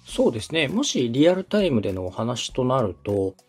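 A man's voice: medium pitch, big pitch swings, moderate pace, husky, soft background music. A man from Osaka giving polite, methodical advice as a podcast host and radio director. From Osaka, Japan. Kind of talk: explanatory consulting talk.

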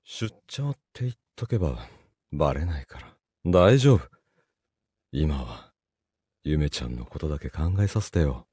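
A man playing a cowardly, cheating young boyfriend in a live audio drama, hesitant and pleading, with a husky, breathy voice. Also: very deep voice, animated, unhurried, pauses often, mellow, no room sound, clean studio sound, exaggerated voice. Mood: sly, hushed.

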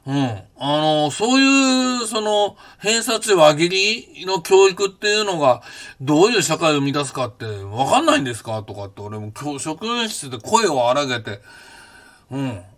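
A man in his fifties, hosting a podcast and recounting his past in a casual, blunt and animated way. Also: on the high side, moderate pace. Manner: animated, blunt storytelling with rough casual speech. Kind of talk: casual spoken memoir.

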